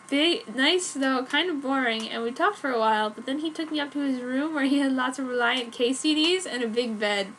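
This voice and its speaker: A woman with a fairly high voice narrates a romance story in a theatrical, mock-earnest way. The amateur recording has clear noise behind her. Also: fairly bright tone, rough recording. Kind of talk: dramatic reading of fan fiction.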